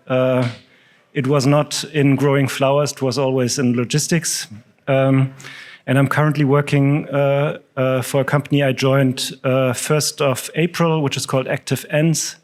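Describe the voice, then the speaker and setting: German-accented male speaker, conference talk, halting delivery with lots of ums. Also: mid-range voice, a little mellow. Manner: hesitant, many fillers. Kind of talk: stage presentation.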